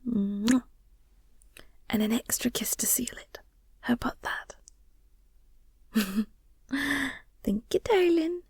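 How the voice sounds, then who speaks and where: A woman's voice: on the high side, lively intonation, slow pace, long pauses, a little mellow, husky, no room sound, studio quality, exaggerated voice. A woman playing an affectionate, playful and protective werewolf girlfriend in an intimate audio roleplay, tender and teasing, often murmuring or whispering.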